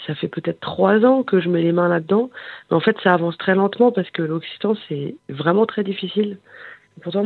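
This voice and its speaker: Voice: medium pitch, creaky, dry recording. A singer and bandleader speaking in a phone interview, hesitant and searching for words with frequent fillers. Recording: tinny phone line, dry.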